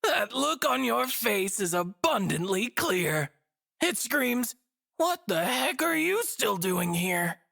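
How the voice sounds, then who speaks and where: Creaky, drawling, sardonic girl. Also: a little high, sing-song, slow, fairly bright tone, full character voice. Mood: bored, mocking. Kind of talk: anime game dialogue.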